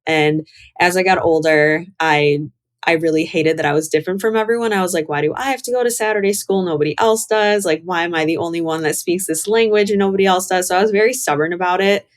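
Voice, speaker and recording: A young woman with an American accent and a low-pitched voice. She speaks as a podcast guest in a casual, candid style, reflective and conversational, with plenty of informal phrasing. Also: quick pace. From Chicago, United States. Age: young adult.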